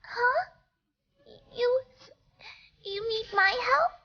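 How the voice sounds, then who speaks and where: A woman's voice: very high voice, lively intonation, very slow, bright, forceful tone, lo-fi sound, a little hiss or hum, exaggerated voice. A woman voicing a shy, modest little pony filly in an audio drama, hesitant and apologetic. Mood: timid.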